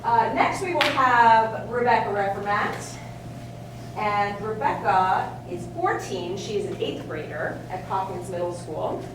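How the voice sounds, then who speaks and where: A woman, a music teacher, introducing students at a live recital, projecting her voice to the room in a friendly, unhurried way. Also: medium pitch, lively intonation, distant mic. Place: concert room, speaking to an audience. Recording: echoey room recorded from afar with noise.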